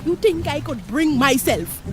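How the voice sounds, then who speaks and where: Bossy older trini woman in a radio play, really high voice, unhurried, clingy and coaxing, music behind. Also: sing-song. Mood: scheming, affectionate. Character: amorous, possessive older neighbourhood woman, quick to scold.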